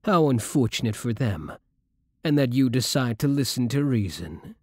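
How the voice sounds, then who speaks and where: A man's voice: mid-range voice, very animated, moderate pace, a little mellow, dry recording, clean studio sound. A man playing a suave, sadistic vampire lord in an intimate ASMR roleplay, courtly, teasing and menacingly polite. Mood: condescending, probing.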